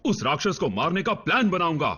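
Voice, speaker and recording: A man playing an impatient, blustering government agent in a dubbed cartoon, with a husky voice. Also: fairly high pitch, very animated, brisk, full character voice. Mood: agitated. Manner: blustering, forceful. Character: hot-headed, impatient male government agent. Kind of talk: dubbed animated series acting. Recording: dull, muffled sound.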